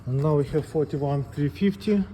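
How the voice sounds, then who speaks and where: Man, slightly high pitch, very soft dark timbre, halting hands-on instruction, faint background noise. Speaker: presenter of a scale calibration tutorial. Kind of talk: unscripted step-by-step technical demonstration.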